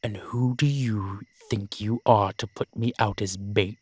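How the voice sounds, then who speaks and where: Guy doing proud old vampire, super slow and formal, deepish voice, grave, audio drama. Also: fairly even intonation, darkish tone, slightly rough. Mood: offended. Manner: slow, formal, courtly diction. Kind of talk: dramatic audio roleplay acting.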